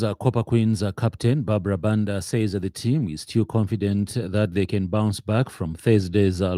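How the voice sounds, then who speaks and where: A man with a Zambian accent reading a scripted radio news bulletin in a formal, somewhat halting manner. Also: fairly low pitch, dark tone, no echo, studio quality. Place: radio studio.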